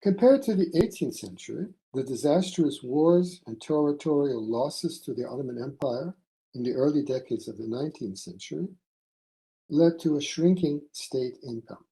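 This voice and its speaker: A man presents a scholarly paper in a formal, read-aloud style. His voice sits at a middle pitch with a very dark, soft-edged tone. He phrases deliberately, setting out each segment in a measured way. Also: animated, rough recording. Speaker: academic presenting a conference paper. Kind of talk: formal scholarly lecture read from a prepared text.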